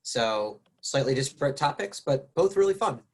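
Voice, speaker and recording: A man's voice: medium pitch, lively intonation, moderate pace. A man who is a professor, introducing himself with a light, joking touch. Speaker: professor and panel moderator. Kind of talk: self-introduction.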